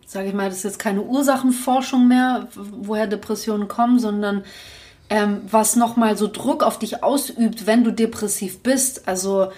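A woman's voice: medium pitch, steady tone, normal speed, darkish tone. A young woman co-hosting a podcast, speaking candidly in long reflective runs with frequent restarts. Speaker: podcast co-host. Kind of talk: casual podcast conversation.

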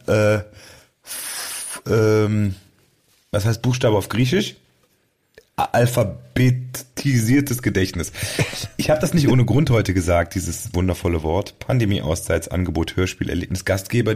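A man's voice: on the low side, lively intonation, unhurried, a little mellow, husky. A man hosting a casual comedic podcast, telling things in a playful, digressive way. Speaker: podcast host.

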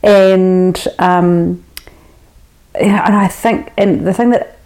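A woman hosting an interview, chatty and encouraging, filling pauses with fillers and murmurs of agreement. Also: medium pitch, fairly even intonation, a little mellow. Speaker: interviewer, host. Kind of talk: casual interview chat.

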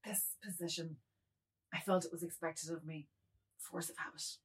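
A woman's voice: low voice, fairly even intonation, normal speed, slightly rough, recorded from a distance. A woman acting a meek, guilty, pleading middle-aged man in a dramatised novel reading, sounding a little away from the microphone.

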